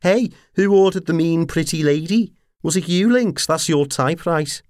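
A cheeky, leering mercenary brawler from a fantasy tale, acted out by a male narrator. The voice jumps up high and loud, full of brash teasing and swagger. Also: moderate pace, no room sound, clean studio sound, close to an everyday voice. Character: scrawny, pale, cackling lewd mercenary, cheeky brawler. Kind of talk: audiobook character acting.

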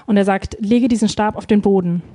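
A woman's voice: mid-range voice, fairly even intonation, brisk, warm tone. A woman interpreting a sermon live, phrase by phrase, hesitating and feeling for words with frequent ums.

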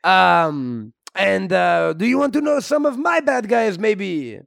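A man plays a French Canadian superhero for an improvised comedy podcast. The voice is high and creaky, with a thick accent and broken English. He rambles along, amiable and hesitant. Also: very animated, slow, fairly bright tone, no echo, studio quality, heavily acted. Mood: chatty, earnest.

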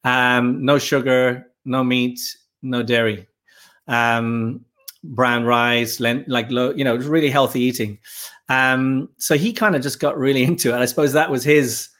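Man, 50s, podcast guest; reflective and halting, mid-pitched. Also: steady tone, a bit bright. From Ireland. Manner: thoughtful, halting, with frequent pauses and fillers. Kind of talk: casual interview talk.

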